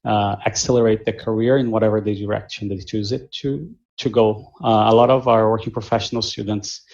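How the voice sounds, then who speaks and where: A man with a Brazilian Portuguese accent and a low, gravelly voice walks prospective students through admissions. He talks in measured stretches full of small fillers and restarts. The video call audio sounds dull and muffled. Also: fairly even intonation, a little mellow. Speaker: admissions director. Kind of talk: answering questions in a virtual info session.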